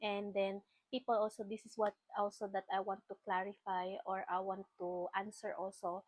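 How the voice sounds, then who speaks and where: A Filipina woman in her late thirties with a Filipino accent, telling her own story to the camera in a chatty, halting way with many fillers and restarts. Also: mid-range voice, little pitch movement, a little mellow, no echo, poor audio. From Philippines. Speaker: vlogger. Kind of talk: casual personal storytelling.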